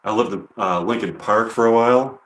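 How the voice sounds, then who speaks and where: A man from Chicago chatting hesitantly on a live stream between performing his songs, pausing to look things up. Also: fairly low pitch, a bit bright. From Chicago, USA. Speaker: singer-songwriter performing live. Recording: echoey room with guitar behind. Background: guitar.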